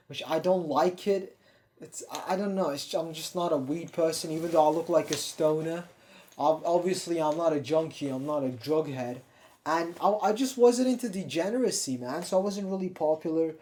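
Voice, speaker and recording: A man's voice: on the high side, moderate pace, a little mellow. Blunt, profane young Turkish man. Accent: American-sounding English. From Turkey. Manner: blunt and profane, rambling with many restarts. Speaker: self-improvement YouTuber. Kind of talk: casual confessional monologue.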